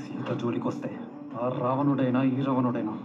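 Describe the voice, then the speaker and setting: A man's voice: medium pitch, flat delivery, a little fast, close to an everyday voice. An actor playing a stern, threatening man in dramatic film trailer dialogue. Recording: muffled film trailer, loud music.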